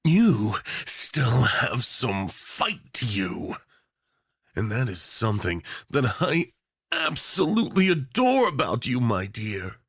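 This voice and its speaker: A man performs a smug yandere tyrant in an audio roleplay, all menacing affection. His voice is husky and close in a dry space, swooping theatrically as he lingers over mock-sweet endearments. He takes his time, savoring every threat. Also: medium pitch, very animated, slow, fairly bright tone, no echo, exaggerated voice. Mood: gleeful menace. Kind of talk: ASMR roleplay acting.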